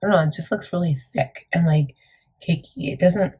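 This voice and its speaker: A woman with a gravelly morning voice, chatting casually through a makeup tutorial with lots of fillers. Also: moderate pace, dark tone. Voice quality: gravelly, deep. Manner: chatty, rambling, many fillers. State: morning voice, a bit crackly. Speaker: beauty vlogger.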